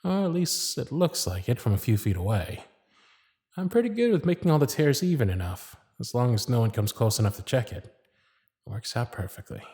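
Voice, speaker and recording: Smitten magician's inner voice, acted by a man: hushed, fond, confiding, intimate ASMR roleplay monologue. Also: medium pitch, very animated, normal speed, pitched below the performer's own voice. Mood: smitten, musing. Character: smitten magician's private thoughts, shy and fond.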